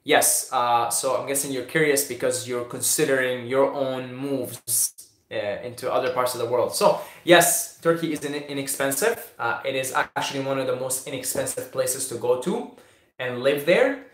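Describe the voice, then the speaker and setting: A man's voice: medium pitch, moderate pace, a bit bright. Syrian-born man answering questions in an echoey room. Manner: repeats words, many fillers and restarts. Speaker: host answering viewer questions. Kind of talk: casual live Q&A.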